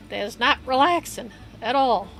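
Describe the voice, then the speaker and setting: A woman livestreaming a game, chatting in a loose, rambling way with a hoarse voice and easy laughs. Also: sits high, a bit bright, rough recording. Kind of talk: casual rambling chat while gaming. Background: upbeat game music.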